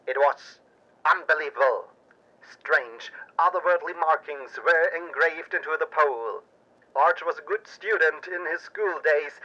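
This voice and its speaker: A man narrating a pulp audio drama in a theatrical, suspenseful and deliberate way. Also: on the high side, sharp tone, muffled recording. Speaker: narrator and storyteller.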